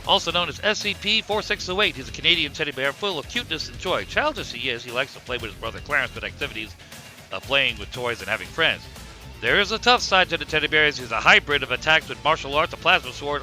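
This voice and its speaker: A man's voice: on the high side, sharp tone, rough recording. A man playing a wrestling commentator, explaining the fighters in a cartoon show.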